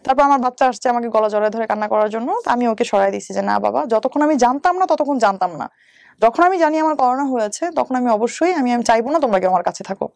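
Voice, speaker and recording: Higher-voiced Bangladeshi woman telling her story. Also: expressive, fast, creaky, a little mellow, dry recording. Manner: detailed step-by-step telling with some English words. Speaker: interview guest, bank employee. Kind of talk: telling a personal story in conversation.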